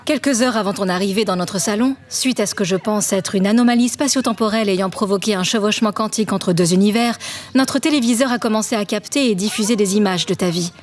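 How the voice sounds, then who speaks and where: A woman's voice: mid-range voice, fast talker, lightly acted. A woman playing an articulate, judgmental, science-minded woman from a parallel universe in a French audio drama. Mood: matter-of-fact. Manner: explanatory, reproachful.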